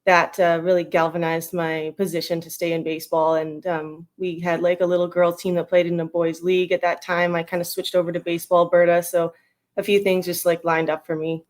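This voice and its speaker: Female, thirties, western Canadian accent. Lowish voice, casual and meandering interview guest. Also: little pitch movement, brisk, darkish tone. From Edmonton, Canada. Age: 30s. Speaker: interview guest, retired national team baseball player.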